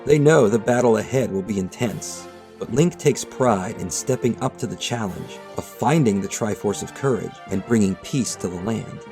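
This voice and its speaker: A man reading scripted, storybook-style narration in a measured, dramatic storytelling manner. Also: mid-range voice. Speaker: narrator of a manga retelling video. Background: background music.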